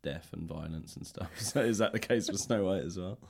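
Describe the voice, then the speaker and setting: Male podcast interviewer, deep voice, casual and tentative, questions that wander as he thinks. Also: a little fast, creaky, slightly rough. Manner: tentative, rambling phrasing with hedges. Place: indoors, upper floor of a public library.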